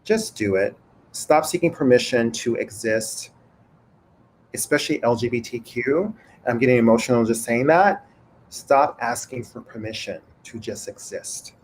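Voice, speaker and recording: A man's voice: medium pitch, unhurried, slight background noise. Affirming male host on a video call. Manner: encouraging, affirming, animated. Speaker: moderator and show host. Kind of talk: hosting a panel conversation. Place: home room.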